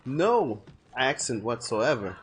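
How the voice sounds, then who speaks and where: A man's voice: medium pitch, sing-song, takes it slowly, a bit bright, slight background noise, rough recording. A man streaming a video game, chatting and thinking aloud in a rambling way, often restarting his sentences. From France. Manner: rambling, self-correcting, chatty. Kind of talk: casual gameplay commentary.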